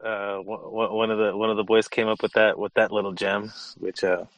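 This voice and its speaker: A man's voice: lowish voice, level tone, brisk, no room sound. A man with a slight Californian accent telling stories on a sports podcast, hesitant and full of fillers. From Los Angeles. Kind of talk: casual storytelling chat.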